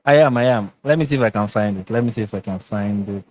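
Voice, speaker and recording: A man with a Nigerian accent hosting a live online chat, deadpan and sarcastic, often repeating his phrases. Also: fairly low pitch, quick pace. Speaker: host. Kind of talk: casual live-stream chat. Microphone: online call.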